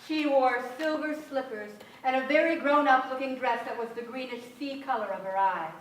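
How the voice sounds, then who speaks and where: An actress playing a storyteller of family memories in theatrical literary narration. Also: female voice, sits high, moderate pace, lightly acted. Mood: descriptive. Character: storyteller describing remembered family scenes. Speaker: stage actor in a staged reading. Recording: echoey theatre, distant mic.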